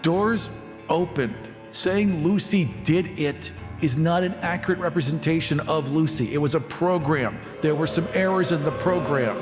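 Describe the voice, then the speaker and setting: A man's voice: a little high, moderate pace, lightly acted. A man playing a self-important, defensive colony founder and engineer with an evasive, insistent manner in a roleplaying game. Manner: evasive, insistent, clipped answers. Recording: long reverb, music underneath.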